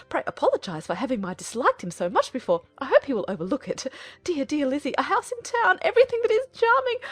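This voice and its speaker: Period audiobook mother: middle-aged, English, excitable and effusive, very shrill, animated delivery, dry recording. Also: no echo. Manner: breathless, effusive exclamations. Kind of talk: dramatized audiobook acting of a period novel.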